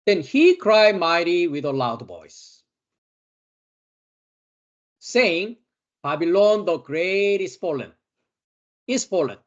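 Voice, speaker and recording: Slow Korean-accented man teaching Bible. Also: high voice, expressive, dull audio. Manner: slow, emphatic, repeats key words. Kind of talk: Bible study lecture.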